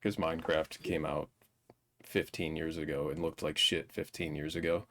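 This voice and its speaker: A man's voice: rumbling low, little pitch movement, moderate pace, fairly bright tone, slight background noise. A man co-hosting a casual film discussion on a live stream, speaking bluntly and swearing freely. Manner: blunt, profane. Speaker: co-host reviewing a film. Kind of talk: casual, unscripted movie discussion.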